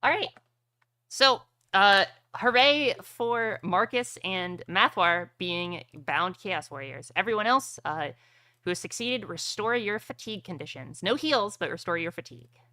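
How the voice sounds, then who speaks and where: Bright-voiced woman running a tabletop game. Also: mid-range voice, lively intonation, unhurried, no echo. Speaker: game master.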